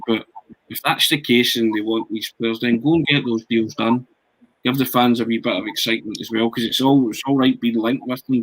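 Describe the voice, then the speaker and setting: A man's voice: mid-range voice, dry recording, poor audio. A man talking football on a podcast, earnest and conversational with rhetorical asides. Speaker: podcast panelist. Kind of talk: casual football podcast chat.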